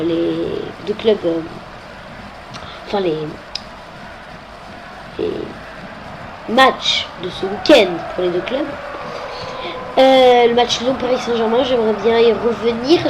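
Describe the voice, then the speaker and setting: A woman's voice: on the high side, background noise. A woman with a head cold commenting on a football video game, hesitant and full of filler sounds. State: has a cold.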